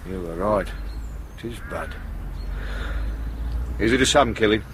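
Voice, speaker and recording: An actor playing a sardonic, ambitious British detective sergeant in a radio crime drama, with a dry, blunt manner. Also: male voice, on the low side, unhurried, background noise, close to an everyday voice. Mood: probing, businesslike.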